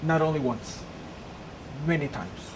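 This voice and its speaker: A woman's voice: sits low, animated, unhurried. Woman, lecturer, earnest, second-language English, humming noisy room. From Peru. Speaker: guest lecturer. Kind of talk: public lecture with slides.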